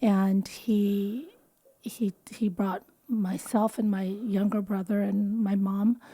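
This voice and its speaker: A woman in her fifties, born in the Philippines, talks about her practice as a wellness doctor. Her voice is warm and mellow at a middling pitch, and her sentences often trail off quietly. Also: fairly even intonation, takes it slowly, husky. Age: 50s. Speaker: anti-aging and wellness doctor. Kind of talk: casual interview talk.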